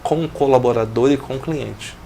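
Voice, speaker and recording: Gravelly Brazilian man telling his story. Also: medium pitch, normal speed, a little hiss or hum. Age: 40s. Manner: reflective, steady storytelling with business jargon. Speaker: business owner guest.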